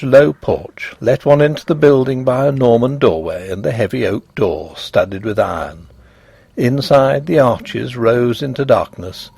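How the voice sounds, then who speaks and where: A man narrating a ghost story in an expressive, measured and dramatic scripted reading. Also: medium pitch, creaky, slightly rough.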